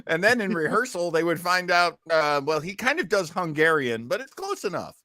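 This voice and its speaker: A man hosting a listening party with casual, joking, animated commentary. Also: high-pitched, moderate pace, no echo, studio quality. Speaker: host of a live listening party.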